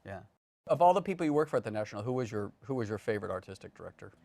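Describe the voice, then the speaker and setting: A man's voice: fairly low pitch, very animated, rapid-fire, creaky, darkish tone, no room sound. A man interviewing guests casually as the host of a studio talk show. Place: television studio.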